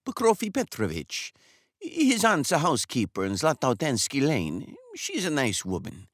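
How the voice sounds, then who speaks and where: A man's voice: fairly high pitch, huge pitch swings, normal speed, dry recording, pitched above the performer's own voice. A smug, bluff older man who lectures the barber in a patronizing, matter-of-fact tone, as a man reading the story puts him on. His voice rises and falls a lot. The recording is dry and close. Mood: cheerful. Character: older male customer, smug and blunt.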